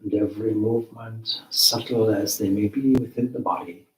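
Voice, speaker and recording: A man leading a guided meditation as a teacher, with measured, deliberate phrasing and long pauses. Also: lowish voice, fairly even intonation, darkish tone. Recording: roomy, echoing space.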